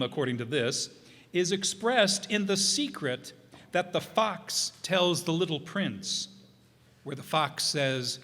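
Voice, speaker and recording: A man introducing a show informally, reading from notes in a casual, halting, musing way. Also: sits high, a bit bright. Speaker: presenter introducing a radio players' show. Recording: echoey hall.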